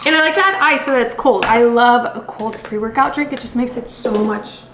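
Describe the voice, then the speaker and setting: A woman's voice: fairly high pitch, fairly quick, noisy background. A woman in her twenties chatting casually in a vlog, bubbly and gushing, filming herself with a handheld camera at home and in her car. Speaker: lifestyle and fitness vlogger. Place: home kitchen and bedroom, later parked car. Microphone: handheld camera at arm's length.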